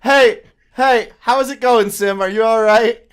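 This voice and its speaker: A woman's voice: on the high side, a little slow, smooth. A woman improvising comedic dub banter as a fandub performer, complaining with melodramatic anguish.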